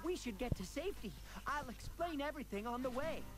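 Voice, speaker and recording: A man's voice: very high-pitched, animated, a little fast, over loud music. A man voicing an earnest, bookish mole creature in a video game, explaining things anxiously. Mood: earnest, worried.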